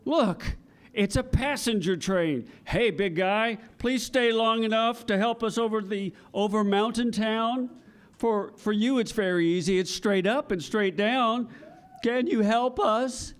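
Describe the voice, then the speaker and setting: A man's voice: high voice, a little slow, clean tone. A man playing a worried, eager little toy train in a children's audio theater show. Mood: hopeful, pleading. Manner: chanting train sounds, repeating cries for help.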